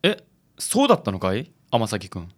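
A man playing a suave, gallant and theatrical gentleman in a radio drama. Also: on the low side, huge pitch swings, moderate pace. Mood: gallant, concerned. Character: suave, gallant, theatrical handsome male friend.

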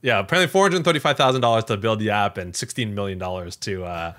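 A man's voice: fairly low pitch, fast talker. A man co-hosting a podcast in casual tech chat, talking in a conversational way peppered with "like" and "you know". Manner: animated, conversational, filler-heavy with frequent "like" and "you know". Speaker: podcast co-host.

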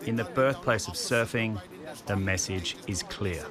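A man giving scripted, measured narration for a sports documentary. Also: fairly low pitch, a crowd in the background. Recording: narration over loud music.

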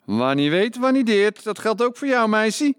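A man playing a gossipy wartime village farmer in a Dutch audio drama, talking in an animated, conspiratorial way. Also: high-pitched, moderate pace, clean tone, no room sound, studio quality. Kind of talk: scripted radio drama dialogue.

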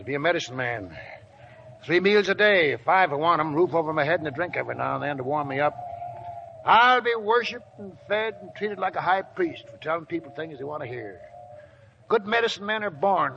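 Old gold prospector guy, wry and folksy, high voice, muffled hissy old radio drama. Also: animated, normal speed, creaky. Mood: wry, contented. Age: older. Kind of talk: 1940s radio acting. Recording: dull, noisy old radio recording.

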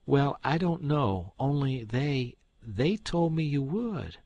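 A man voicing a cheeky, smooth-talking teenage boy who acts overly polite and innocent. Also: medium pitch, very animated, takes it slowly, warm tone, dull audio, pitched above the performer's own voice, heavily acted. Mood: sheepish.

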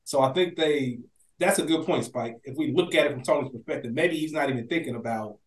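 A man co-hosting a casual TV recap podcast, talking in an animated, slangy way with plenty of swearing. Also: medium pitch, fairly quick. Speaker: podcast co-host. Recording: roomy, echoey sound.

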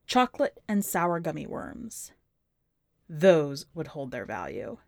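A woman narrating literary fiction from a script, with measured, deliberate phrasing in short clipped sentences. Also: low-pitched, very animated, creaky, dry recording, studio quality. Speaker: podcast host reading a short story.

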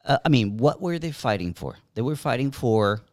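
A man's voice: mid-range voice, lively intonation, normal speed, a little mellow, no room sound, clean studio sound. A man co-hosting a casual discussion, opinionated and pressing his guest with pointed questions. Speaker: co-host.

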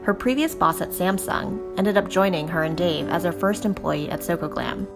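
A woman reading scripted documentary narration in a measured, polished way. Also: on the low side. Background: background music.

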